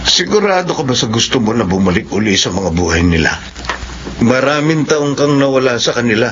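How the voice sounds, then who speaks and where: An actor playing a supportive, cautious husband in a Filipino radio drama, speaking in a measured, concerned way. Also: male voice, mid-range voice, sing-song, fairly bright tone, faint music behind. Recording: muffled radio drama audio with faint noise.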